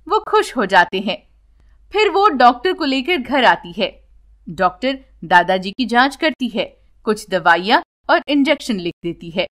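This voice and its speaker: A woman's voice: fairly high pitch, fairly bright tone. A woman narrating a scripted bedtime story for children as a storyteller.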